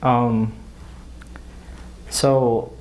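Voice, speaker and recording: A man from the Philippines, an audience member, asking a prepared question in an earnest, slightly halting way. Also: medium pitch, little pitch movement, darkish tone, slight background noise, low-quality recording.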